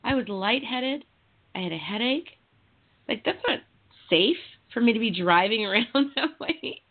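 A woman's voice: a little high, lively intonation, moderate pace. A woman in her thirties chatting casually as a host, candid and self-deprecating as she tells everyday stories. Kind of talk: casual chat. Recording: dull phone-like audio.

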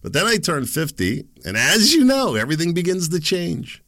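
A man's voice: fairly high pitch, wildly swooping pitch, normal speed, a bit bright, no echo, studio quality. A man in his fifties hosting a solo podcast, rambling with sarcastic and self-deprecating asides. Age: 50s. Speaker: podcast host. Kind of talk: casual solo podcast monologue.

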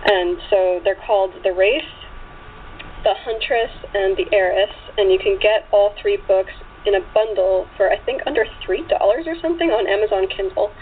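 A woman's voice: mid-range voice, moderate pace. A woman guest chatting casually in an interview, talking in a chatty way peppered with "like" and "you know". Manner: chatty, frequent 'like' and 'you know'. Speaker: guest author and actress. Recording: tinny phone line with clear background noise.

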